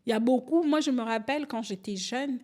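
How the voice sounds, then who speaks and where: A woman in her forties, from Angola, speaking candidly as a podcast guest, telling stories and acting out quotes with lively restarts. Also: fairly high pitch, fairly quick. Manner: animated, storytelling, restarts and acts out quotes. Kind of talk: candid conversational interview.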